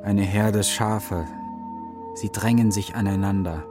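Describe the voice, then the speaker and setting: An actor voicing a solemn eagle spirit who reports like a seer in slow, sparse statements in a children's radio drama. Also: male voice, fairly low pitch, steady tone, music in the background. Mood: solemn, watchful.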